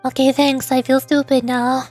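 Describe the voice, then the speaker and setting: A woman's voice: high voice, flat delivery, normal speed, darkish tone, soft background music. A woman streamer chatting casually and exasperatedly as she plays a game. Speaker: streamer playing and voicing game characters. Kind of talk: casual live-stream commentary.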